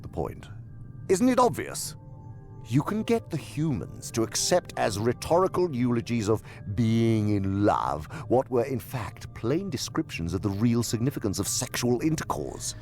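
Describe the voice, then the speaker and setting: An actor playing a senior demon in a radio drama, a sly, imperious mentor who speaks pompously and savors each word with theatrical emphasis in a gravelly voice. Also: male voice, medium pitch, big pitch swings, a little slow, a little mellow, soft background music. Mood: scornful impatience.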